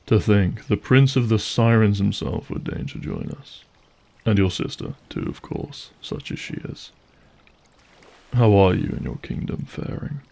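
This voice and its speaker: A man performs an aristocratic monster lord from an audio drama. His voice is lowish with a marked creak, and his words are formal and silkily gracious, those of a calculating ruler who has known grief. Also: big pitch swings, a little slow, a little mellow, heavily acted. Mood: solemn, gracious. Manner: formal, gracious, silky courtesy.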